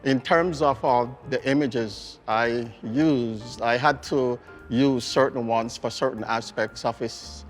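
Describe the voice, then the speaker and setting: A man's voice: medium pitch, fairly even intonation, slow, hardly any pauses, soft background music. A man answering interview questions as an artist, explaining his process conversationally, step by step. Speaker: portrait artist being interviewed.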